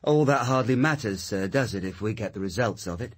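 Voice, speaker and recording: An actor playing a blunt, needling police sergeant in a radio drama. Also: male voice, on the low side, expressive, brisk, husky. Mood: challenging. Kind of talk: British radio drama acting.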